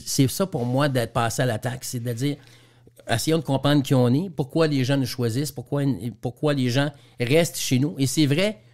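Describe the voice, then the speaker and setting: A man's voice: mid-range voice, normal speed, darkish tone. A man from Quebec with a Quebec French accent, a guest trainer and speaker explaining business examples in a radio interview, often repeating words as he goes.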